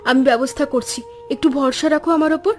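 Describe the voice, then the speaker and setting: A woman's voice: sits high, fast, lightly acted. A woman voicing a kind, resourceful woodcutter's wife in a cartoon, speaking in a reassuring, practical way. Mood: confident, reassuring. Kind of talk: Bengali cartoon story voice acting. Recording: music playing under the voice.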